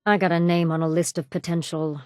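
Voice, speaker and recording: A woman's voice: fairly low pitch, little pitch movement, brisk, a little mellow, no room sound, natural voice. A woman voicing a ruthless raider gang leader in a video game, sardonic, commanding and menacing. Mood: quietly threatening. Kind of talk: video game character dialogue.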